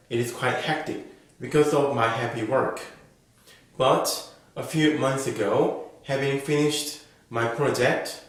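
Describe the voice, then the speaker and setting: Slow, careful man in an echoey room. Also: medium pitch. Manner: slow, careful, model-answer delivery. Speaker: IELTS speaking teacher. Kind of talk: reading sample exam answers as a lesson.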